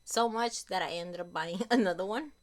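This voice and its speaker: A young woman reviewing beauty products she has used up, in a chatty, casual way, her voice a little raspy from a cold and often correcting herself as she talks. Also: medium pitch, normal speed. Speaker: beauty vlogger.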